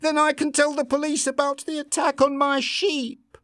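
A man's voice: very high voice, level tone, normal speed, a bit bright, no echo, studio quality, pitched above the performer's own voice. A man reading a story, voicing a distraught farm woman who stammers and trembles as she cries. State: crying, trembling. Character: trembling middle-aged female farmer.

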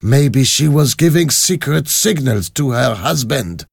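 Man voicing a ruthless, vengeful woman villain, raised pitch. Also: expressive, a little slow, vocal fry, husky, no room sound, heavily acted. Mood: menacing. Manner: cold, emphatic, menacing.

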